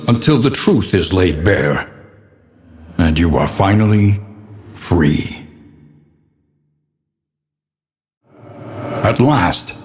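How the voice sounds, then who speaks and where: An actor playing the grave, brooding narrator of a gothic horror game, speaking in a solemn, portentous, theatrical manner. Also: male voice, lowish voice, darkish tone. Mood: solemn, encouraging. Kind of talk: scripted dramatic narration. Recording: long cavernous reverb.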